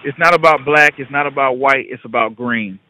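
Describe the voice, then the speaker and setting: A man's voice: mid-range voice, brisk, sharp tone, no room sound, poor audio. A man talking casually and in a rambling way as a guest investing educator on a conference call. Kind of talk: casual conversational talk.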